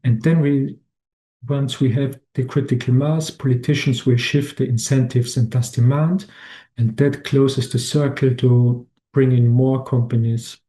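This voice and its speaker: Halting Austrian-born man giving a webinar. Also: medium pitch, steady tone, dark tone. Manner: halting, many restarts and fillers. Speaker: webinar presenter. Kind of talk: slide presentation talk.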